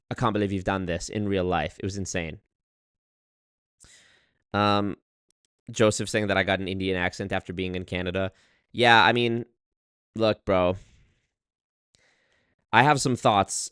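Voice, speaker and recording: A man telling a story on his livestream in an animated, exasperated way, swearing often and reading chat. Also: deep voice, clean tone, no room sound, studio quality. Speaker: streamer. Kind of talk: casual livestream rant.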